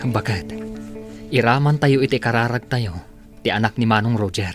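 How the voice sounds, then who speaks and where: A man acting a gentle, respectful and devout younger brother, full of concern, in a scripted audio drama with music behind him. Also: mid-range voice, moderate pace, natural voice. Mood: sympathetic.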